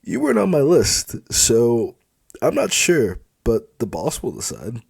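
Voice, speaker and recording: A man's voice: mid-range voice, huge pitch swings, measured pace, vocal fry, a little mellow, breathy edge, dry recording, voice pushed up, exaggerated voice. A man voicing a smug, crude club supervisor in an audiobook, dismissive and impatient with a sneering manner. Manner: sneering, exasperated, mouthy. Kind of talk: dramatised audiobook dialogue.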